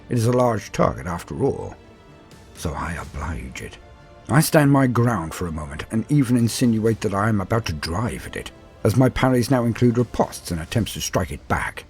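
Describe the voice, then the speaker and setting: A proud, calculating battle lord, voiced by a man tells his war tale over a music bed. His voice sits a little low and moves widely in pitch. The phrasing is solemn, deliberate and theatrical, dropping into hushed asides as in an audio storybook. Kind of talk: dramatic first-person story narration.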